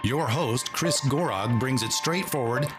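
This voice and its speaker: Male announcer over loud music. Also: mid-range voice, a little slow. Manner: upbeat promotional delivery. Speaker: show announcer. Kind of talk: scripted show intro.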